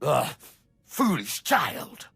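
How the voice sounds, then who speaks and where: An actor playing an arrogant, wrathful immortal Sith master in a video game, with a harsh rasp and a menacing, grandiose delivery. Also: male voice, mid-range voice, wildly swooping pitch, drawn-out words, pushed and bright, soft background music, full character voice. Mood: contemptuous. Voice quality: harsh, rasping. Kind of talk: video game villain acting.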